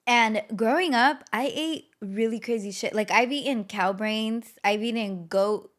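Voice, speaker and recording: A young woman co-hosting a casual podcast, telling stories in an animated way with swearing and laughter. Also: on the high side, unhurried. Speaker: podcast co-host. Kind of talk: casual chat.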